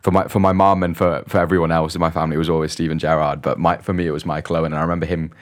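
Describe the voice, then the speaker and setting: Young man, very low voice, hesitant podcast guest, lots of restarts. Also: flat delivery, racing. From grew up mostly in Dubai, born in London. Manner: hesitant, many restarts and fillers. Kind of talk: casual interview chat.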